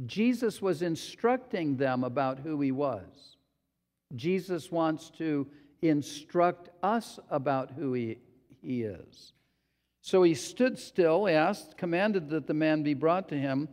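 Slow, deliberate male pastor preaching. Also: on the high side, expressive, a little mellow. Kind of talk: sermon. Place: church sanctuary. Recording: long hall reverb.

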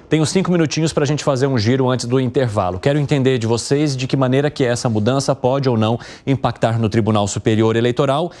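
Brisk middle-aged male news anchor. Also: mid-range voice, darkish tone. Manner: brisk questioning host. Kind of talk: hosting a news panel. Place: tv studio.

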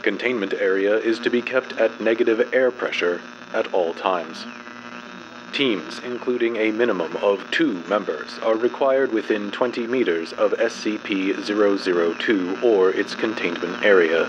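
A man narrating a horror fiction file as a dramatic reading, in a formal, clinical, measured delivery. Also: fairly low pitch, background noise.

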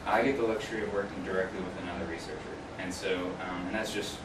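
A man with a very low voice speaks informally to a small class, correcting himself as he goes. He is recorded from across an echoey room, with clear noise behind him. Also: steady tone, fast. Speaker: guest speaker, UX researcher. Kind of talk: informal career talk to a class, answering questions. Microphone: distant camera microphone. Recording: roomy, distant mic, clear background noise.

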